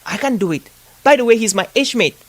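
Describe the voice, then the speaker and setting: A man's voice: sits high, expressive, a little fast, dry recording. African-accented male host, close dry sound. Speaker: podcast host. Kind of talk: conversational interview.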